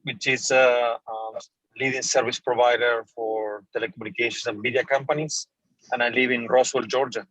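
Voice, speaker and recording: A man's voice: mid-range voice, level tone, moderate pace, a bit bright, no room sound, low-quality recording. A man from Argentina introducing himself on an audio-only call, plain and apologetic. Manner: apologetic, straightforward. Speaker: senior vice president. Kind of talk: casual self-introduction. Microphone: video call, audio only.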